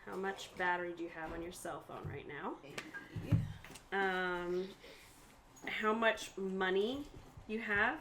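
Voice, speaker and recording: A woman's voice: medium pitch, slow, pauses often, noisy background. A woman explaining tabletop game rules to players as game master. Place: indoors at a home game table.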